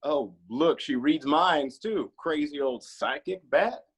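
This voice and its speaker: Man playing a husky, sassy cowboy sidekick. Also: fairly high pitch, expressive, takes it slowly, heavily acted. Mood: sardonic. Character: sassy, teasing male honky-tonk cowboy sidekick. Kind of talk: screenplay table read.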